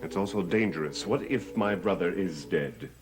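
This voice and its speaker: An actor playing a haunted, earnest master of a gothic mansion in a dramatic soap opera. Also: male voice, low voice, moderate pace, music in the background, close to an everyday voice. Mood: urgent pleading.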